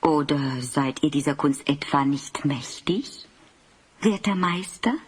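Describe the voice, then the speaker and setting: A woman's voice: deep voice, takes it slowly, husky, full character voice. A woman playing a genteel, persuasive queen in a fantasy audio drama, speaking in a courtly, coaxing way. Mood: pointed challenge. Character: genteel, persuasive queen of an icy northern realm.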